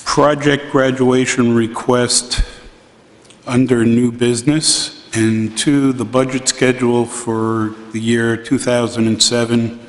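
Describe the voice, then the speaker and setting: A middle-aged man speaking formally and deliberately as he chairs a board meeting. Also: medium pitch, flat delivery. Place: large indoor council chamber. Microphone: gooseneck microphone on dais. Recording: reverberant meeting hall, faint noise.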